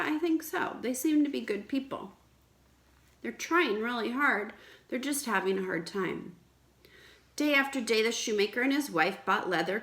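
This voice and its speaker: A woman teacher reading aloud to children in an expressive, explanatory way, with questions for the listeners. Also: medium pitch. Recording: echoey room.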